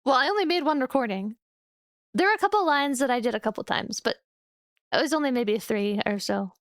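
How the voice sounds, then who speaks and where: A high-pitched young woman talking to her stream in a relaxed, chatty way, laughing easily between thoughts. The sound is close and dry, with no room around it. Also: no room sound. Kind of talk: casual chat with stream viewers. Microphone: studio microphone.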